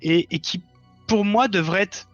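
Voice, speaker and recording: A man's voice: high voice, unhurried, soft background music. A man talking as a guest in a casual, reflective conversation, explaining earnestly and rephrasing as he goes. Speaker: interview guest, fiction writer. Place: live online stream. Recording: dull lo-fi voice link.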